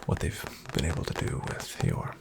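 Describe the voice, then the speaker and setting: A man playing a polite, reassuring financial advisor in an ASMR roleplay, in a breathy half-whisper with gravelly fry and a slow, soothing, formal delivery. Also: noisy background, natural voice. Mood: calm, businesslike. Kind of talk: ASMR, soft-spoken roleplay.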